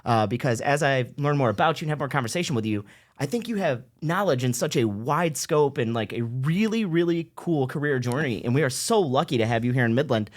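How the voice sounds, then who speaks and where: A man's voice: mid-range voice, expressive, a little fast. A man hosting a local talk show, enthusiastic and effusive, joking with his guest. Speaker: show host. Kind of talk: casual interview chat.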